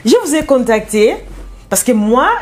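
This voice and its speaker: A woman's voice: on the high side, measured pace, darkish tone, slight background noise. A woman hosting a casual faith interview show, talking in a chatty way with her guest.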